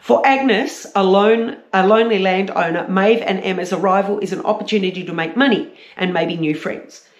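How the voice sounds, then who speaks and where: A woman with a croaky voice, chatting casually and a little hesitantly as a book reviewer. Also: medium pitch, normal speed, hardly any pauses. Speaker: book reviewer, vlog host. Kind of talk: casual book chat with read-aloud blurbs. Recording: roomy, echoey sound.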